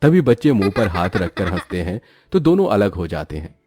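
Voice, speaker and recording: A man's voice: low-pitched, very animated, a little fast, warm tone, soft background music. A man narrating a scripted moral story for a cartoon as its storyteller.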